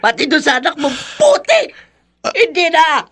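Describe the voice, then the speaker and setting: Shrill-tempered, swooping bitter widow. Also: female voice, sing-song, normal speed, fairly bright tone. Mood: bitter, accusing. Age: middle-aged. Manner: scolding, accusatory. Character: bitter, unforgiving widowed mother-in-law, quick to blame. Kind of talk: radio drama acting.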